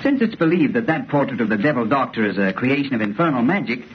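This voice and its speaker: An actor playing a polite, earnest young fiancé in an old radio horror play. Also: male voice, mid-range voice, very animated, fast, close to an everyday voice. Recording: dull, noisy old radio recording.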